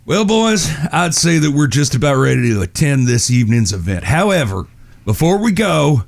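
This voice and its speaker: An actor playing a stern, watchful American gunslinger older brother, with a firm, wry, folksy drawl in a western audio drama. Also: male voice, a little high, sing-song, normal speed, vocal fry. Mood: firm, exasperated.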